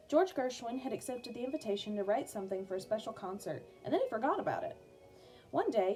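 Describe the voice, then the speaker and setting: A woman reading an educational biography aloud for children as a music teacher, in a clear, measured storytelling manner. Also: a little high. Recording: music playing behind the voice. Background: background music.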